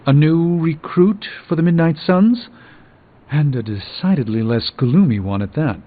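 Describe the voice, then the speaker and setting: A man's voice: on the high side, lively intonation, measured pace. A man voicing a confident, dryly witty sorcerer superhero in a video game, with theatrical, articulate delivery. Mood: wry, composed. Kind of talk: scripted video game dialogue. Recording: muffled game audio under clear music.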